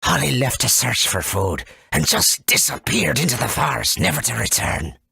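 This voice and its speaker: A man voicing a folksy, cautious elder of a furry forest tribe in a video game, with a gravelly, husky voice and dramatic storytelling manner. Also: mid-range voice, very animated, moderate pace, bright, forceful tone, dry recording, exaggerated voice. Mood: sorrowful, resigned. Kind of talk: video game character acting.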